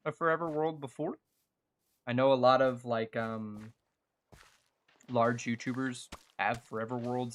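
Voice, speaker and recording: A young man talks casually as he plays a game for his viewers. His voice sits at an average male pitch. He rambles in an earnest, halting way, often thinking out loud. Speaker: gaming streamer and video creator. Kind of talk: casual chat over live gameplay.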